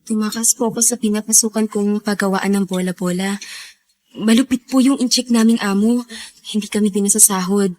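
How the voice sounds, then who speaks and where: A woman's voice: mid-range voice, fairly even intonation, brisk, a little mellow, natural voice. A woman playing a timid, polite and apologetic young runaway worker in a radio drama. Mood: subdued, polite. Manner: deferential, apologetic. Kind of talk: Filipino radio drama acting.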